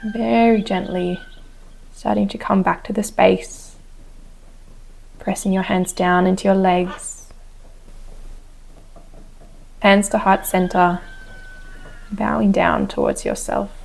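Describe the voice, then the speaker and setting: A woman teacher leading a guided meditation with gentle, unhurried instructions. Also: medium pitch, expressive, gravelly, poor audio. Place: outdoors on a wooden deck. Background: chickens and a rooster.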